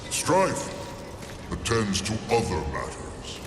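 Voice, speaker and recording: An actor voicing an ancient demonic judge for a video game, booming and gravelly, declaiming in grave, solemn ceremony. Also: male voice, huge pitch swings, slow pace, background noise, heavily acted. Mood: commanding, grave. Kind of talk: video game cinematic voice acting.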